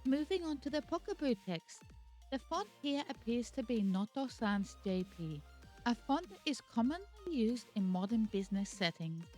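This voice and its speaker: Woman reading her script, kinda high voice, calm and explaining stuff, faint music behind. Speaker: video host. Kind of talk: scripted narration.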